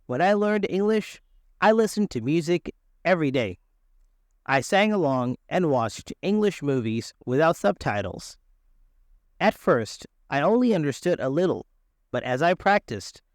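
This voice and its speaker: Lively, slightly high-voiced male narrator. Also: no echo, clean studio sound. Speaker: English lesson narrator. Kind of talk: scripted narration.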